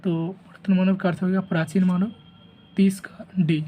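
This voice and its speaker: A man with a sore throat and a rough voice, steadily reading exam questions and giving each answer and option like a teacher. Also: sits high, flat delivery, normal speed, dark tone. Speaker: teacher going over an exam answer key.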